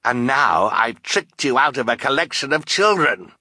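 A man's voice: mid-range voice, sing-song, normal speed, pushed and bright, dry recording, voice pushed up, full character voice. A man voicing a gloating, scheming male villain in an audiobook story.

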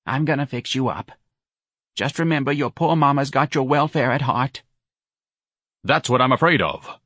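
A man voicing an anxious, pleading older mother from New with a high, quavering voice. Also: lively intonation, normal speed, fairly bright tone, no room sound, studio quality.

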